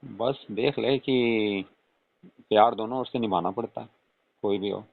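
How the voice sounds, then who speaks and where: A man's voice: on the low side, unhurried, gravelly, no room sound. A man playing a flirtatious, coaxing father-in-law in a casual, intimate phone-call role-play, murmuring and adding questioning hums. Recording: tinny phone-line call recording.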